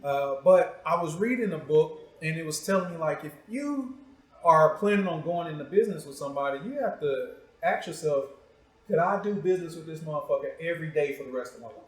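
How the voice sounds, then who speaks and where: A man's voice: fairly high pitch, animated, fairly quick. A man hosting a casual interview show, joking and teasing in informal, slangy talk. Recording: echoey room.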